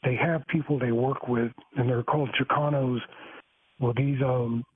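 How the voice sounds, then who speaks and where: A man rambles through a call-in, stumbling over restarts and fillers in a fairly flat delivery. His voice is a little husky and comes through a tinny phone line. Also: medium pitch, no room sound. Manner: halting, many restarts and fillers. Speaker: caller.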